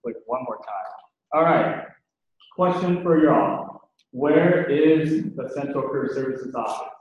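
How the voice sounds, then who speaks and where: A man's voice: mid-range voice, slow pace, slight background noise. Guy from china presenting at a meeting, echoey room, kinda dull far-off sound. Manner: friendly, hesitant, uses fillers. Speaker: career advisor.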